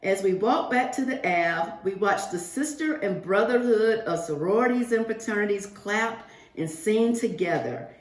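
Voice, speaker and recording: A woman reading a picture book aloud to young children, expressive and addressing them directly. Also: mid-range voice, moderate pace, few pauses. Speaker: guest picture-book reader for a school class. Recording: roomy, echoing virtual-reading audio.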